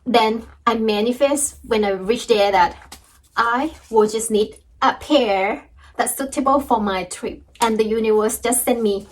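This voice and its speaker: A woman's voice: a little high, a little slow, slight background noise, poor audio. A young woman with a Thai accent, teaching her language in a cheerful, chatty video lesson and often laughing. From Thailand.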